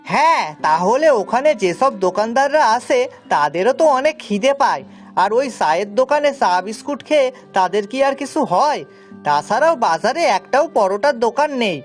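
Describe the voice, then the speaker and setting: A woman voicing a high-pitched, eager young servant lad. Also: sing-song, moderate pace. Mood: earnest, eager. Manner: deferential, earnest, rustic colloquial speech. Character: eager, humble young male servant Pocha turned paratha seller. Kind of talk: cartoon story dialogue. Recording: music behind the voice.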